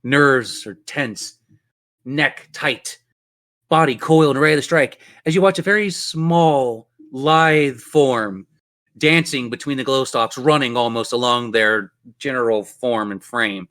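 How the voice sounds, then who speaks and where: A man's voice: medium pitch, a little slow, fairly bright tone. A man running a tabletop role-playing game as game master, telling the story in a descriptive, theatrical way.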